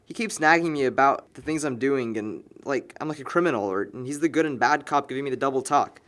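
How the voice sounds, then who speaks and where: A man's voice: mid-range voice, fast, fairly bright tone, lightly acted. An actor playing a sarcastic, exasperated young man planning a house party in a film drama, talking in wordy, slangy wisecracks. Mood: exasperated, defensive. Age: young adult. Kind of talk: naturalistic amateur film dialogue.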